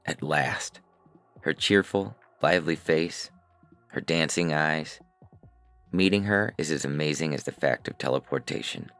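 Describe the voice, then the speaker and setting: Extremely deep, creaky man narrating fiction. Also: fairly bright tone. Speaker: narrator and reader. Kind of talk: scripted first-person science fiction narration.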